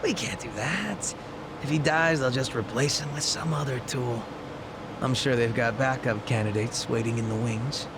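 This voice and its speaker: Guy acting calm polite planner type in a game, mid voice, noisy background. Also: mid-range voice, moderate pace, lightly acted. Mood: cautioning. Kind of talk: video game English dub acting.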